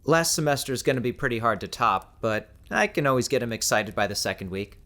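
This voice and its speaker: A man's voice: mid-range voice, big pitch swings, fairly quick, fairly bright tone. A man playing an easygoing, wry young husband who teaches, in casual audiobook dialogue.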